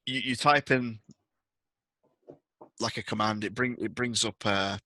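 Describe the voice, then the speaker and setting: A man's voice: on the low side, fairly even intonation, measured pace, bright, forceful tone, no echo. A man narrating a tabletop roleplaying game as game master, setting out information and scenes for the players.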